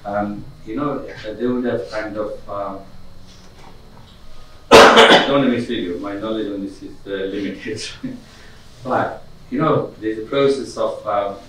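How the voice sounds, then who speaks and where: A man's voice: lowish voice, a little slow, pauses often, bright, forceful tone. A middle-aged man giving a public talk to an audience in a community conference room, speaking hesitantly with many fillers and restarts. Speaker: guest lecturer. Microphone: far camera. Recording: echoey room from far off, faint hiss.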